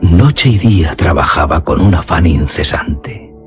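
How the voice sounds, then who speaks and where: A man's voice: sits low, lively intonation, unhurried. A man narrating a literary radio drama in a solemn, theatrical, emphatic manner. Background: music.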